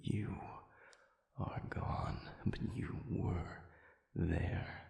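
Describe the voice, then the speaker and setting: A broken male demon, acted by a man, speaks extremely slowly in a breathy half-whisper, with echo around the voice. He is anguished and formal, groping for his lost memory in halting phrases, as in an intimate roleplay audio drama. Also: low-pitched, level tone, a little mellow, exaggerated voice. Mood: anguished, conflicted. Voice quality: gravelly, breathy.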